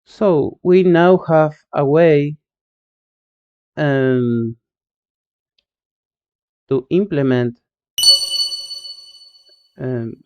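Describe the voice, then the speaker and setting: An Argentine-accented man, a native Spanish speaker, streams himself coding and chats with viewers in a slow, unhurried way. His voice is smooth and clear, though the stream audio sounds dull and lo-fi. He talks in halting bits, full of fillers and restarts. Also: fairly high pitch, steady tone. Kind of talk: live coding stream, reading chat.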